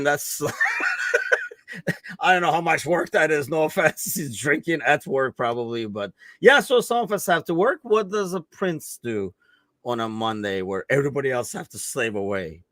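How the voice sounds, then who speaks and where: Animated chatty male podcast host. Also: mid-range voice, dry recording. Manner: rambling, many fillers, laughs often. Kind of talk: casual live chat about football.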